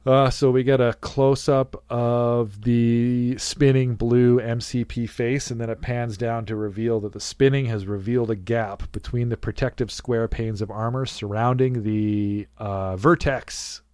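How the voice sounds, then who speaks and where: A man's voice: medium pitch, steady tone, unhurried, hardly any pauses, a little mellow, clear, resonant tone, dry recording. A man in his late forties co-hosting a casual film discussion, rambling and correcting himself as he goes. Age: 40s. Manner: rambling, self-correcting, laughing asides. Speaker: podcast co-host. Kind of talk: casual movie commentary chat.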